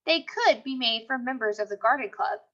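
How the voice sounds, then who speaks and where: A woman's voice: fairly high pitch, moderate pace, a bit bright, lightly acted. A woman voicing an earnest, precise schoolboy detective in a storybook, in a serious, matter-of-fact way. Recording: dull, lo-fi sound.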